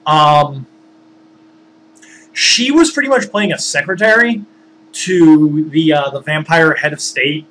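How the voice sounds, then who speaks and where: A man telling a story casually, rambling and halting as he searches for words and digresses. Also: a little high, a bit bright, slight background noise, rough recording. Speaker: storyteller, former tabletop game master.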